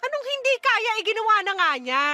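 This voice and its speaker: A woman's voice: very high-pitched, very animated, quick pace, sharp tone, soft background music, heavily acted. A woman playing a bitter, exhausted mother who scolds and nags sarcastically in a radio-style drama. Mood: angry, exasperated.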